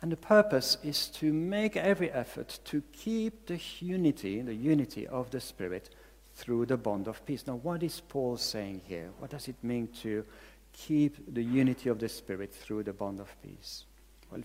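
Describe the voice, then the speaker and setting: A man's voice: medium pitch, a little mellow. Belgian-accented man preaching in a reverberant hall. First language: not English. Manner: earnest, repeats key phrases for emphasis. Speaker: pastor. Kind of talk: sermon.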